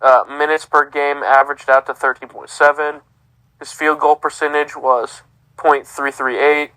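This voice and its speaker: A man's voice: fairly high pitch, sharp tone, clean tone, no room sound, rough recording. A man, co-hosting a casual sports podcast in an easygoing way, reading stats aloud. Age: 30s. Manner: easygoing, reads out lists. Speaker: podcast co-host.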